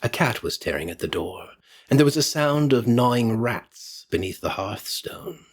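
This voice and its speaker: A man narrating a Victorian story as an audiobook reader, theatrical and solemn, with deliberate phrasing. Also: mid-range voice, animated. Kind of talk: dramatic scripted narration of Victorian fiction.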